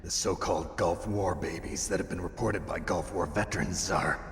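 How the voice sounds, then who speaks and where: An actor playing a gruff, skeptical soldier hero in a video game, terse and questioning. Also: male voice, fairly even intonation, normal speed, close to an everyday voice. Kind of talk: dramatic video game voice acting. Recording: echoey hall reverb over loud rumbling noise.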